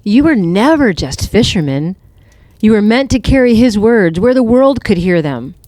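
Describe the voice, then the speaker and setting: Woman playing wise older mother, tender and earnest, mellow tone, dry close sound, Bible audio drama. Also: medium pitch, very animated, normal speed, dry recording, close to an everyday voice. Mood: fond, reassuring. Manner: gentle, reassuring, earnest.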